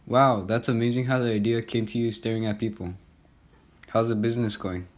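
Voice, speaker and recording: A man's voice: medium pitch, steady tone, fairly quick, lightly acted. A man playing a courteous radio talk show host in a scripted 1920s skit, with polite delivery.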